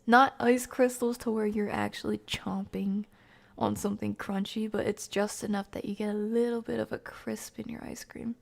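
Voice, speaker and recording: A woman's voice: mid-range voice, steady tone, moderate pace, vocal fry. A woman hosting a livestream, chatting casually and informally with viewers in a rambling way. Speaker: live streamer hosting a stream. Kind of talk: casual livestream chat with viewers, reading comments.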